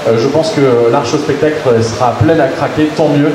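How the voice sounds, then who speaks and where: A man's voice: a little high, steady tone, moderate pace, a crowd in the background. Upbeat man hosting in an echoey hall. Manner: hesitant, many fillers, upbeat. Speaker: pageant organizer presenting candidates. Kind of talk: hosting a press presentation. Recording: very echoey hall with loud noise.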